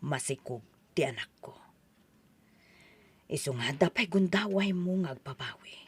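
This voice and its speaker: A woman's voice: deep voice, animated, moderate pace, slightly rough, full character voice. A woman playing a stern, scheming mother in a melodramatic audio drama, speaking with emphatic pressure. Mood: grave pleading.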